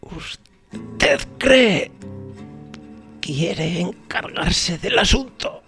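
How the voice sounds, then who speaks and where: Man playing anguished helpless man, slow drawn-out delivery, swooping pitch, audio drama, pleading. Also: expressive, creaky, bright, forceful tone, soft background music, heavily acted. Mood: weak, pleading. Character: tormented, helpless man pecked by a vulture. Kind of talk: audio story acting.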